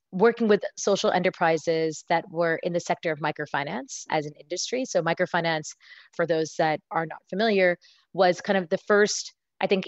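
American female founder, 30s, lower-pitched voice, dry and close, earnest and rambling. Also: no echo. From United States. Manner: earnest, with frequent fillers and restarts. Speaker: company founder and CEO, interview guest. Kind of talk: conversational interview answers. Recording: slightly dull, lo-fi sound.

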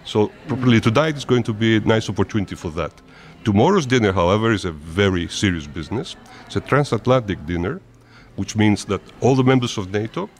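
A man answering interview questions at length in a measured, formal way, often restarting his phrases. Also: lowish voice. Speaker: interviewed diplomat.

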